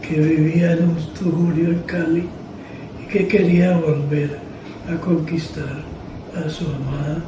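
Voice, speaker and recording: An older Colombian man giving solemn autobiographical testimony in short, deliberate, measured phrases. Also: on the high side, flat delivery. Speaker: interviewee, former farm radio journalist. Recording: echoey, distant, clearly noisy.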